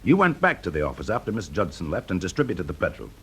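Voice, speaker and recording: An actor with a British accent playing a confident, shrewd fire investigator in a radio drama, brisk, urbane and teasing. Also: male voice, sits low, wildly swooping pitch, husky, exaggerated voice. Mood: shrewd, accusing. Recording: noisy classic radio drama recording.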